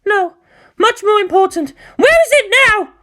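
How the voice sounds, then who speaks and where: A woman voicing a hot-tempered, alarmed female military commander in a dramatic story reading, shouting with indignant emphasis. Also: very high-pitched, animated, unhurried, pitched above the performer's own voice, heavily acted. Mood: panicked, shouting. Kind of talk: dramatic audiobook character acting.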